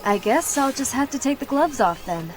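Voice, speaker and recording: An actress playing a serious, resolute young female warrior in a video game cutscene, firm and measured, with music behind her. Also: high voice, animated. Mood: determined, wary.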